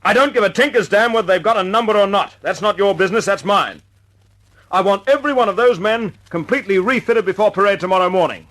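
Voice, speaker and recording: An actor playing a brisk, commanding wartime air force squadron leader in an old-time radio drama, speaking in a clipped, authoritative way. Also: male voice, high voice, a bit bright. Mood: angry, forceful. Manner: clipped, authoritative, informal with his men. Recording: noisy old radio recording.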